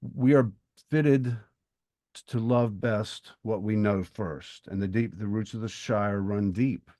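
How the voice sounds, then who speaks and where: A middle-aged man with a deep voice speaks in a very dry recording. He co-hosts a literary podcast and talks reflectively, often stopping to rephrase and work out his thought as he goes. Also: slow pace, mellow, no room sound. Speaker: podcast co-host and writer.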